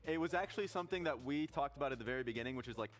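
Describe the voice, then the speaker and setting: A man's voice: mid-range voice, expressive, racing, a crowd in the background. A man analysing an esports game at a studio desk, emphatic in his breakdown.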